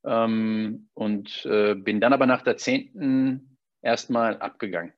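A man's voice: fairly low pitch, steady tone, slow, no echo. A man, casually telling personal stories and often checking in with a tag question. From Berlin, Germany. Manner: conversational, often tagging statements with a questioning "ne". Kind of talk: casual personal storytelling in conversation. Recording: dull, dry lo-fi recording.

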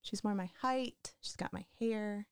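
A woman with a flat voice co-hosting a casual podcast chat, playful and laughing often. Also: medium pitch, measured pace, smooth, dry recording, studio quality. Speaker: podcast co-host.

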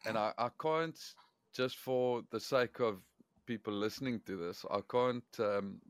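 A man's voice: lowish voice, unhurried, dry recording. A man hosting a casual podcast chat, teasing and swearing freely. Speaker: podcast host.

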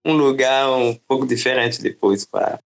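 A man from the Democratic Republic of the Congo talks through his experiences in an easygoing interview. His first language is French, and his delivery is unhurried and explanatory. He often checks in with his listener with small tags. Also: mid-range voice, gravelly. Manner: relaxed, explanatory, often adds "né?" and "entende?". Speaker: podcast guest. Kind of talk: conversational interview.

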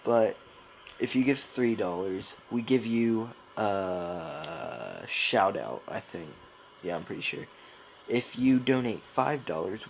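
A man's voice: on the low side, gravelly, a little hiss or hum, rough recording. A young man co-hosting a casual podcast, rambling along in informal slang with lots of filler words. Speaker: podcast co-host.

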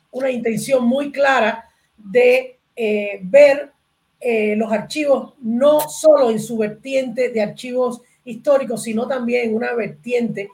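Casting: Cuban woman, middle-aged, fairly high voice, academic podcast guest explaining her field. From Cuba. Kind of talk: interview explanation.

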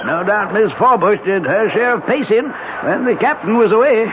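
An actor playing a laconic New England rental agent with a folksy, clipped manner in a 1970s radio drama. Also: male voice, sits high, expressive, moderate pace. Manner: folksy, clipped, drawling understatement. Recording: noisy, muffled 1970s radio broadcast.

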